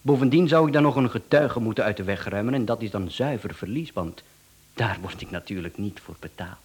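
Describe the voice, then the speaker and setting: A man's voice: lowish voice, expressive, brisk, darkish tone, husky. A man with a Flemish accent playing a smug, chatty professional hitman in a radio drama, speaking with mocking politeness and self-assurance. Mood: amused, polite menace.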